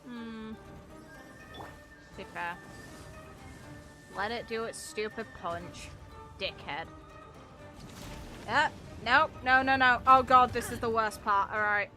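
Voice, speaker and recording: A woman's voice: a little high, fairly even intonation, slow, pauses often. A woman chatting casually and bluntly on a live game stream, with some swearing. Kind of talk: casual live-stream chat while gaming. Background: video game music.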